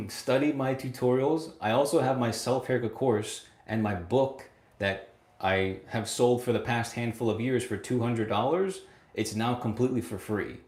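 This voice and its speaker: A young man in his late twenties chats through a livestream, reading out viewer comments and answering them. He speaks a little low, laughs often, repeats himself and keeps checking in with "right?". The sound rings around an echoey room. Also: darkish tone. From United States. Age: 20s. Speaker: livestream host.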